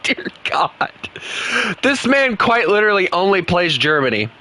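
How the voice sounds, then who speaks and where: A man's voice: high-pitched, sing-song, unhurried, pushed and bright, slight background noise. A young man with a Southern US accent, chatting casually and rambling while he plays a game. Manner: rambling, chatty, laughs often. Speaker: gaming streamer. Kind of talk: casual gaming chat.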